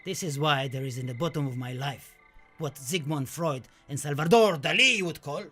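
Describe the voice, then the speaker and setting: A man's voice: on the high side, normal speed, bright, forceful tone, faint music behind. An actor playing a passionate, wistful Spanish poet looking back on his life and death in a theatrical, declamatory radio play monologue. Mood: nostalgic, reverent. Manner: dramatic, declamatory, lingering over poetic phrases.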